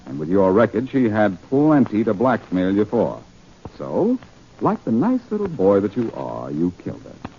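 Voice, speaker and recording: A confident, wry sleuth from a 1940s radio play. His voice is lowish and swings with teasing emphasis, on a muffled old broadcast recording. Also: wildly swooping pitch, normal speed, slight background noise. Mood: taunting, accusing. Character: wry, confident amateur sleuth hero, adult man. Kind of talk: 1940s radio detective drama acting.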